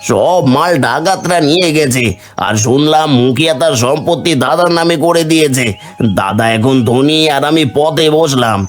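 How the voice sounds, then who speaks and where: A man's voice: on the high side, a little slow, faint music behind, close to an everyday voice. A man playing a greedy, suspicious younger brother, a ruined village trader, in a cartoon fairy tale. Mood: despairing.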